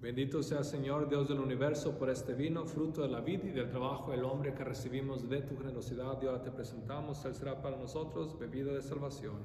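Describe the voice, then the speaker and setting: A man's voice: steady tone, dark tone. Male priest saying mass, solemn and formal, echoey church, bit of background noise. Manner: measured, formal ritual phrasing. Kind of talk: solemn liturgical prayer recitation. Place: large reverberant church interior. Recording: echoey church hall, faint low rumble.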